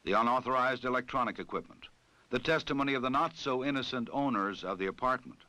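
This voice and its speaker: American male, documentary narrator, sharp bright tone, authoritative, formal scripted delivery, close dry sound. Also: mid-range voice, quick pace, dry recording. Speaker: narrator of a police training film.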